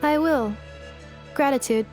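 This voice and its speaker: A woman playing a literal-minded, earnest female robot in a video game, speaking in a precise, deadpan, questioning way. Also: high-pitched, unhurried, music in the background. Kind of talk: video game character acting.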